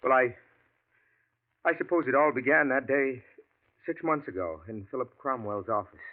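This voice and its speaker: A man's voice: medium pitch, moderate pace, natural voice. A man playing a nervous stammering writer. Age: 30s. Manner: anxious, stammering, urgent. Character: nervous male writer of thirty-five. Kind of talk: 1940s radio acting. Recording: tinny old radio broadcast.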